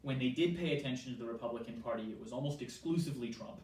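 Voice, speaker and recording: A man's voice: mid-range voice, a little mellow. American man, echoey distant recording. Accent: American, softened toward New Zealand. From Southern California. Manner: measured, frequent ums, quotes others with animation. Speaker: interviewee, church worker. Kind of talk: conversational interview answers. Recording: echoey room, mic at a distance, faint hiss.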